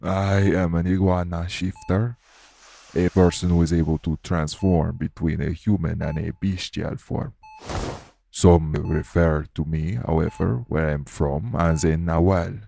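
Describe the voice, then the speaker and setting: Deep, gravelly, courteous iguana shifter played by a man. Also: steady tone, warm tone, slight background noise. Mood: calm, reassuring, then formal, earnest. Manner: formal, measured, reassuring. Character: calm, courteous iguana shapeshifter, male lead archaeologist. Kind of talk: audio roleplay acting addressed to the listener.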